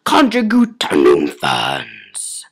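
A gloating alien tree creature, female and menacing, acted out by a woman reader for a story. She commands and taunts, drawing out her words with slow relish. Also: extremely low pitch, huge pitch swings, breathy edge, voice pushed down, heavily acted. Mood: barking.

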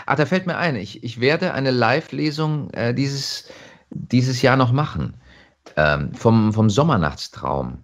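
A man chatting casually as an actor being interviewed, telling stories in an animated way. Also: mid-range voice, a little slow, muffled recording.

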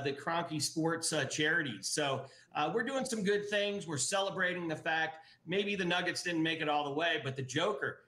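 A middle-aged man speaks over a webcam in an indoor office, his voice bright and a touch higher than most men's. He talks with eager enthusiasm, full of "uh"s and restarts. The room around him sounds echoey. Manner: enthusiastic, many fillers and restarts. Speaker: brewery guest. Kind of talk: casual interview over video call. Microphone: video call webcam. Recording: roomy webcam audio.

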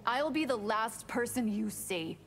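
Fierce fanged warrior, music behind. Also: female voice, fairly high pitch, animated, measured pace. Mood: defiant. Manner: menacing, defiant. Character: fierce, defiant fanged female monster warrior. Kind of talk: video game dialogue.

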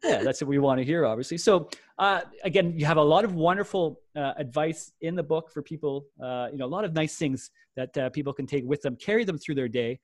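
Quick-talking man host, dry close sound. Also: fairly high pitch, dry recording. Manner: fast, filler-heavy, eager. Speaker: podcast host. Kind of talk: friendly interview. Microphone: video call.

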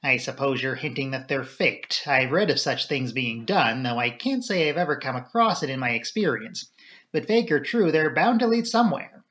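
A man's voice: mid-range voice, animated, moderate pace, a bit bright, lightly acted. A man voicing a grave, fussy local police inspector in an audiobook, plain-spoken, blunt and stubborn. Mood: sceptical. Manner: blunt, matter-of-fact, stubborn.

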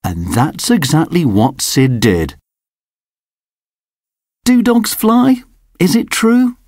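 Slow sing-song picture book reader. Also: mid-range voice, huge pitch swings, a little mellow, no echo, studio quality. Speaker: storybook reader. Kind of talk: children's picture book read-aloud.

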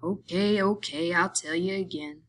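A woman playing a small, irritable, weary male migrant worker in an audiobook, scolding in rough, rustic speech. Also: fairly low pitch, measured pace, slight background noise, lightly acted. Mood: exasperated.

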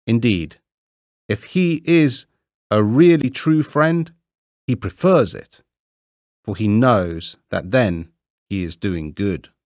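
Man voicing a preachy miller, self-righteous, lecturing, slow, lively pitch, storybook reading. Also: no room sound, clean studio sound. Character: smug, preachy grown male miller.

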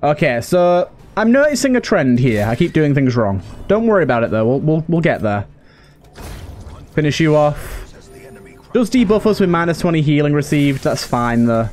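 A man's voice: fairly high pitch, big pitch swings, moderate pace, darkish tone. A man giving casual let's play commentary, muttering to himself as he thinks through his moves. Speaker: gaming video host. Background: game music.

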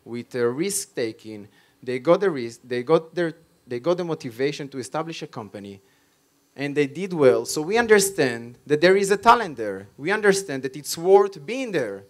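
A man's voice: on the high side, sing-song, normal speed. Emphatic Israeli man presenting on stage. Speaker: conference speaker on startups and innovation. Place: stage at a tech conference. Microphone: handheld microphone.

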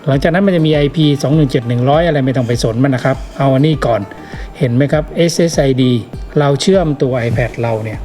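A man talks through a product demonstration in a casual, informal way. His voice sits at a medium pitch, and he goes step by step, repeating the key instructions. Music plays clearly behind him. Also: fast, warm tone. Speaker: product demonstrator giving a tutorial. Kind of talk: casual hands-on tutorial narration. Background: clearly audible background music.

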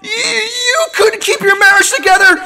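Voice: extremely high pitch, normal speed, creaky, bright, forceful tone, full character voice. A performer playing an anxious teenage grandson in a cartoon audio drama, hesitant and whiny. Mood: furious. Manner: nervous, hesitant. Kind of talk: fan-made cartoon audio drama acting.

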